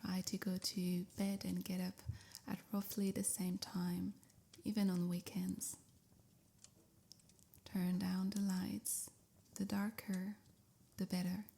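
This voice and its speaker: A woman softly guiding a sleep meditation in a breathy near-whisper, with soothing, measured phrasing. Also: fairly low pitch, level tone. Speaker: meditation guide. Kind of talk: guided sleep meditation, scripted.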